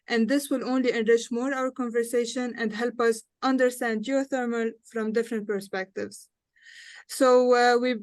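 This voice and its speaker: A woman's voice: a little high, no echo. A young adult woman with a Lebanese accent, hosting a panel discussion as moderator. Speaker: panel moderator and student engineer.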